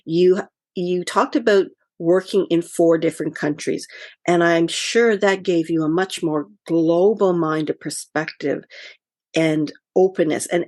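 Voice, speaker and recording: Low-voiced woman hosting an interview. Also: a little mellow, no echo, clean studio sound. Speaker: host. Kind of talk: interview conversation.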